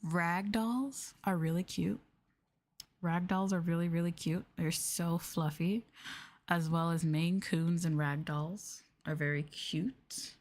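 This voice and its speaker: A soft-spoken woman with a slightly low voice chats in a casual ASMR style. She sits close to the microphone, talking to viewers in a gushing, affectionate way. Her delivery often drifts toward a near-whisper. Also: steady tone, slow pace. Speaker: ASMR streamer, VTuber host.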